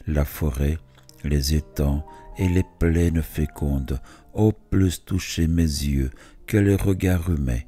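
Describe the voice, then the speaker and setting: A man reciting literary poetry in a measured way, phrase by phrase with pauses between them. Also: extremely low pitch, dark tone, soft background music. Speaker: poetry reader.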